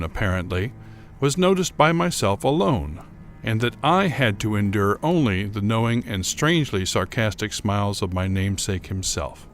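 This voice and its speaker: A man playing a troubled, bitter gentleman who narrates a gothic horror tale in a formal, deliberate, theatrical manner. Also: lowish voice, a little hiss or hum. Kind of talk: dramatic first-person reading of gothic horror fiction.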